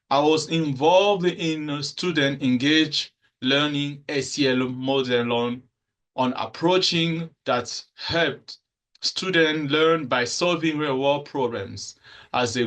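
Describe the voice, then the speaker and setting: A young man from the Republic of Congo, a student speaker, giving a prepared formal speech in a measured way with occasional restarts. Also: a little high, a bit bright.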